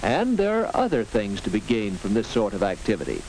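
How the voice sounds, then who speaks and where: Male narrator, American, mid-century classroom film style: instructive, animated intonation, over a faintly noisy vintage soundtrack. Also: lowish voice, fairly quick. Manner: instructive, clearly addressing the viewer. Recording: old film soundtrack with hiss, clicks.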